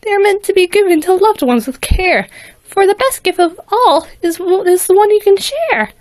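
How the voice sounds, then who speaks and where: A woman voicing a kind, earnest little girl pony who pleads in a high, sweet voice. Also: expressive, moderate pace, darkish tone, no echo.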